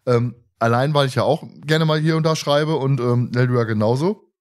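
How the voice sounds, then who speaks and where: A man's voice: mid-range voice, normal speed. Chatty male podcaster, rambling and repetitive. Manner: rambling, repeats words and phrases. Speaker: podcast host. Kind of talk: casual fan podcast chat.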